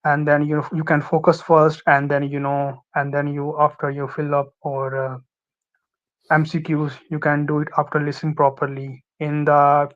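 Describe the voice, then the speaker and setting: A young man from Pakistan with a Pakistani accent, Urdu his first language, giving halting advice as a guest with many fillers and restarts. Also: on the high side, completely flat delivery, dull audio. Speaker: guest, former student. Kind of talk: casual interview advice.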